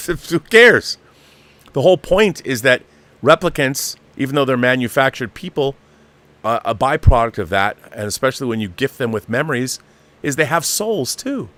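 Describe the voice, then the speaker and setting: A man's voice: mid-range voice, sing-song, normal speed, fairly bright tone. A middle-aged or older man hosting a live show, giving opinionated, casual film commentary and repeating phrases for emphasis.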